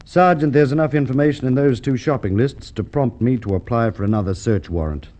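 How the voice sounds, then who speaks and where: An actor playing a shrewd police inspector with an Australian accent, deliberate and courteous in the style of golden age radio drama. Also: male voice, mid-range voice, mellow, natural voice. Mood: musing, assured. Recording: dull vintage radio drama recording.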